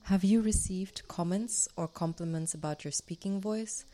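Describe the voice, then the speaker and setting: A woman interviewing a guest, asking calm, plain questions. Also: medium pitch, moderate pace.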